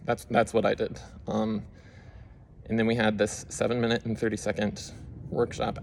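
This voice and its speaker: A man's voice: fairly low pitch, vocal fry, slight background noise. A young man casually walking through his screen, rambling with lots of fillers as he explains what he did. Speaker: YouTube creator. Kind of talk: casual screen-share walkthrough.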